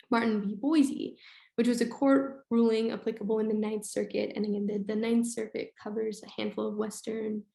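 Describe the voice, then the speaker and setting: A woman with a slightly hoarse, unwell voice presents slides on a webinar, explaining in a halting way with frequent pauses. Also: on the high side, darkish tone. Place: indoor room on an online webinar. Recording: roomy, echoey webinar sound.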